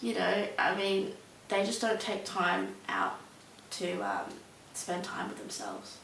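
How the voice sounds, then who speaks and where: Hesitant young woman, gravelly. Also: medium pitch, big pitch swings, takes it slowly, fairly bright tone. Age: young adult. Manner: hesitant, many ums and likes. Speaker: interviewee. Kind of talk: casual interview answers. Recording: roomy, mic at a distance, faint hiss.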